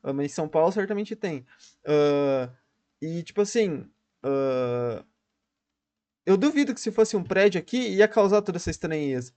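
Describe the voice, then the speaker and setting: Brazilian male streamer, Brazilian Portuguese accent, loose slangy reactions, slightly high voice. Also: expressive, normal speed, darkish tone. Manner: chatty, restarts often, slangy. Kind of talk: casual reaction commentary.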